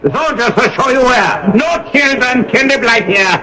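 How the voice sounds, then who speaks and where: A domineering Gestapo officer in an old radio drama. His voice swings high and wide as he commands and threatens, over a clearly noisy recording. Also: animated, normal speed. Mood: cold menace.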